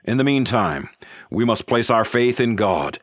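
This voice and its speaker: A man playing a solemn statesman addressing the nation in a radio drama, with stately, measured oratory. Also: mid-range voice, expressive, dry recording, clean studio sound. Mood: solemn, resolute. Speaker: government official giving an address. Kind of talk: 1930s-style radio drama speech.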